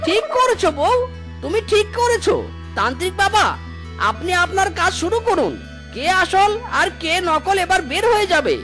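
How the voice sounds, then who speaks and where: A performer voicing a whiny, blustering village husband in an animated folk tale, with a theatrical, complaining delivery. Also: very high voice, normal speed, exaggerated voice. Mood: aggrieved. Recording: clear background music.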